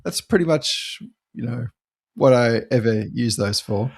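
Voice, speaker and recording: A man's voice: medium pitch, expressive, measured pace. A man chatting casually as a podcast co-host, easygoing and joking along. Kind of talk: casual banter.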